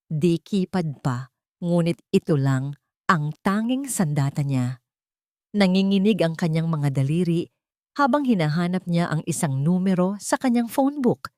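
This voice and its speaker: Female storyteller, lowish register, lively swings in intonation, suspenseful dramatic narration in a dry booth sound. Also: no echo.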